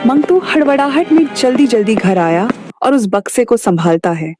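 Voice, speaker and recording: A woman's voice: fairly high pitch, moderate pace, over music. A woman narrator reading a scripted moral story for a cartoon.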